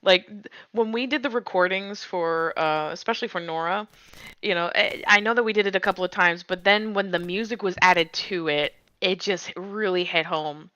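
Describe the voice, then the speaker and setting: Bright, clear, lower-pitched woman. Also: normal speed, no room sound, dull audio. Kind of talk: casual livestream discussion. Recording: dry, slightly band-limited microphone.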